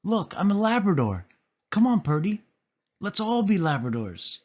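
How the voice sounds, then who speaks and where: Man as a brave storybook dog dad, raised pitch, urgent, encouraging, slightly muffled recording. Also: big pitch swings, normal speed, darkish tone. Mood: eager. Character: brave adult male Dalmatian father, urgent and caring.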